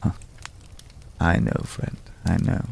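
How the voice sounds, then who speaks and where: A man acting a mysterious, courteous stranger in an ASMR roleplay, speaking in a hushed, measured way with lingering pauses and a deep, gravelly voice. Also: a little hiss or hum, lightly acted. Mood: solemn, measured. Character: mysterious, courteous male stranger visiting a hunter's night camp. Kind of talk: ASMR roleplay, slow dramatic monologue.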